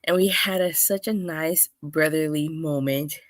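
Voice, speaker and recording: A woman with a hoarse, clogged voice from being under the weather, hosting a chatty solo review with lots of restarts. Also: low-pitched, a little slow, no echo, studio quality. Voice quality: clogged, stuffy voice. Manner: chatty, rambling, with many restarts and fillers. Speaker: wrestling show reviewer, host. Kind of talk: casual solo review talk.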